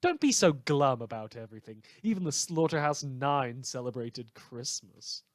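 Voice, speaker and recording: A man's voice: mid-range voice, animated, normal speed, no echo, clean studio sound, natural voice. A man playing a sardonic villain who hosts a post-apocalyptic survivalist radio show, with a theatrical, sarcastic delivery. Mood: mock cheery. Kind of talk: fiction podcast as in-character radio monologue.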